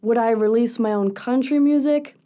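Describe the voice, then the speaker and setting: A young woman with a low, raspy voice, a singer being interviewed on a radio show and speaking earnestly and candidly at length. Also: normal speed, mellow. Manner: earnest, candid, talks in long thoughtful runs. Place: radio studio.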